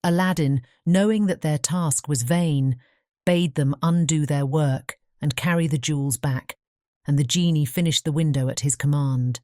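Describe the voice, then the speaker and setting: Mellow, low-pitched woman narrating a fairy tale. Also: normal speed, no echo, studio quality. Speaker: audiobook narrator.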